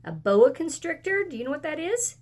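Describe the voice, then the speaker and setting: A woman teaching young children as a kindergarten teacher, speaking deliberately and encouragingly, with questions and pauses for answers. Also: sits high, very animated, a little mellow. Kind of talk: video lesson and read-aloud for young children.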